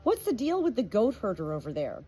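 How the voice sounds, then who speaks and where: A woman's voice: fairly high pitch, wildly swooping pitch, fairly quick, warm tone. A woman voicing a haughty, scornful mother with a dramatic, contemptuous way of talking. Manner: contemptuous, dramatic, screeching when triumphant. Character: haughty, scornful, theatrical mother who fancies herself psychic.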